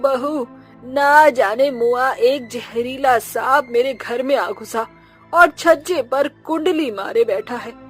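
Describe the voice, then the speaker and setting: A slow quavering old aunt. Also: male voice, very high-pitched, a bit bright, soft background music, voice pushed up, heavily acted. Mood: frightened. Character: frightened old village aunt.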